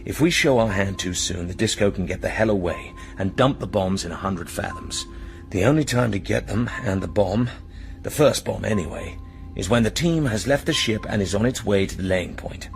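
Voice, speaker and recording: A man's voice: fairly low pitch, lively intonation, moderate pace, gravelly, soft background music. A British man playing a cool, decisive commander and secret agent in a radio drama, speaking with composed, precise authority.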